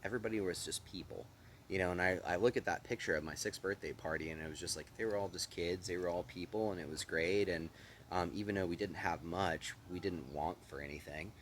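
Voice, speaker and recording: A man in his thirties from Austin, Texas, telling stories from his childhood in a relaxed, rambling way as an interview guest. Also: low-pitched, a little fast, slight background noise. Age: 30s. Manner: relaxed, rambling, says "like" and "you know" a lot. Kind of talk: casual conversational storytelling.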